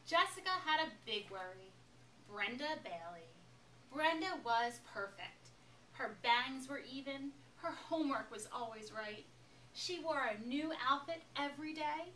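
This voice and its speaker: A woman reads a children's storybook with emphatic, dramatic phrasing. Her voice is fairly high and forceful. It sounds distant from the microphone, in an echoey room. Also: slight background noise. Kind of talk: expressive children's picture book read-aloud.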